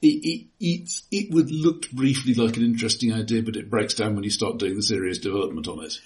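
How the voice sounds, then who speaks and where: A man's voice: fairly low pitch, fairly quick, a little mellow. A man co-hosting a conversational film discussion, explaining technical points analytically and often restarting his words. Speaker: podcast co-host.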